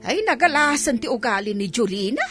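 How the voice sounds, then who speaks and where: An Ilocano-accented woman playing a kindly, apologetic mother in a radio drama, speaking with gentle sympathy. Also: fairly high pitch, sing-song, brisk, over music. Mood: sympathetic. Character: kindly, apologetic mother covering her daughter's debt.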